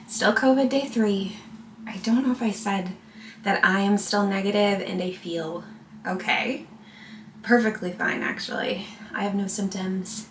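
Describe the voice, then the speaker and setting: A woman's voice: mid-range voice, a bit bright, slight background noise. A young woman vlogging at home, talking to a handheld camera in a chatty, caring way. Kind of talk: casual vlog chat. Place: rooms of a home. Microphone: handheld camera at arm's length.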